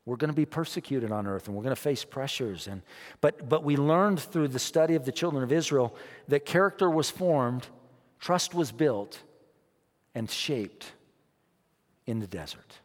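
A man preaching a sermon as pastor, emphatic, repeating his phrases and swinging between quiet and loud. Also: medium pitch, expressive, moderate pace, in a large hall. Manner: emphatic, repeats phrases, rises and drops in loudness.

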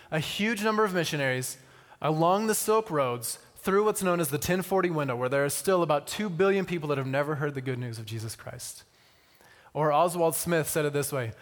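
Man preaching in a reverberant hall. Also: fairly high pitch. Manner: conversational, with deliberate dramatic pauses and repetition. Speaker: missionary speaker. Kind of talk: sermon with personal storytelling to an audience.

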